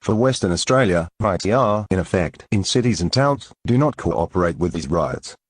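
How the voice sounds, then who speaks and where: A man's voice: low voice, animated, normal speed, no echo. A man reading scripted fictional news and alerts as an announcer, in a formal, stiff manner.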